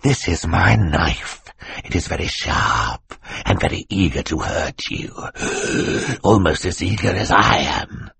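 A man's voice: a bass voice, big pitch swings, a little slow, vocal fry, husky, heavily acted. A man voicing a menacing, smug male villain in an audiobook, with a drawling, mocking snarl. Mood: snarling menace. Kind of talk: audiobook character voice.

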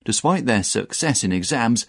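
A man reading a news article aloud as narrator, in a measured, even way. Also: medium pitch, dry recording, studio quality. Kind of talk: scripted narration.

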